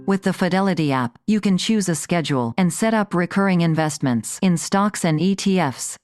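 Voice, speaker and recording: A woman's voice: lowish voice, animated, moderate pace, darkish tone, dry recording, studio quality, faint music behind. A woman performing a scripted advertising voice-over.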